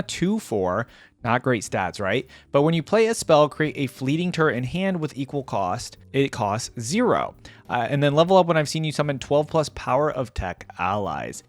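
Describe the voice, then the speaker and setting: Lively man doing casual reaction commentary. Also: on the high side, dry recording. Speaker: reaction video host.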